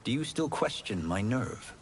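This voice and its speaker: A man playing a humble, earnest young warrior monk in a video game, speaking formally and respectfully with quiet determination. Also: mid-range voice, very animated, measured pace, noisy background. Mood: earnest, determined. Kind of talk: video game cutscene acting. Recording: very loud cinematic music behind.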